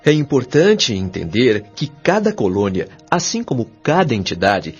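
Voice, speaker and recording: A Brazilian man playing a kindly, patient spirit guide in an audio drama, explaining things in a measured, didactic, carefully enunciated way. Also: medium pitch, very animated, slightly rough. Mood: explanatory. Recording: dull lo-fi audio, music behind.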